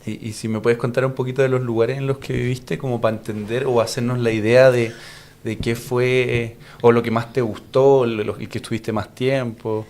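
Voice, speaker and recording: A man with a Chilean accent hosts a casual interview. He asks long, rambling questions full of fillers and offers quick, friendly affirmations. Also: medium pitch, level tone, darkish tone. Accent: Chilean Spanish. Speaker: interviewer host.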